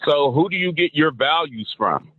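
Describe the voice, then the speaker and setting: A man's voice: fairly high pitch, expressive, moderate pace, crowd noise. A man on a panel, sarcastically heckling another speaker. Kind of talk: heated panel debate. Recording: tinny dry phone line.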